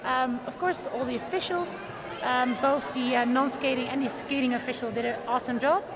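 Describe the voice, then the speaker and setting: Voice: high-pitched, steady tone, fairly quick. An event organizer giving thanks to helpers, hesitant with many fillers. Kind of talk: thank-you speech. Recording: crowd and background noise. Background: crowd.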